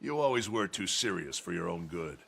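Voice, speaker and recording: A very deep, gravelly mercenary captain. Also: male voice, expressive, moderate pace, full character voice. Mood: wry. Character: stern, seasoned mercenary captain, man, dryly teasing. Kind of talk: video game cutscene acting. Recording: loud music behind. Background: loud music.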